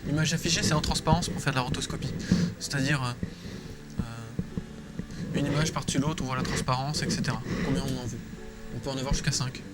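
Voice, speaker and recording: A man talks casually through a screen-recorded tutorial. His pitch is middling and he often mutters to himself in slangy, informal phrases. Loud music plays behind his voice. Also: fairly even intonation, brisk, rough recording. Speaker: drawing tutorial presenter. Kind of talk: casual screen-recorded tutorial, thinking aloud while drawing. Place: small room at home, indoors.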